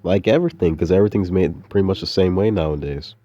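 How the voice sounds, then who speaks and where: A man's voice: deep voice, fast talker, very noisy. A young adult man rambling casually in a laid-back, slangy, meandering way, like an informal audio diary. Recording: dull, muffled lo-fi audio.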